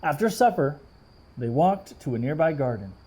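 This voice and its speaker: A man reading a storybook aloud for children in an explanatory way, pausing to question his young listeners. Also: a little high, expressive, dark tone, breathy edge. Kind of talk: expressive children's read-aloud with asides.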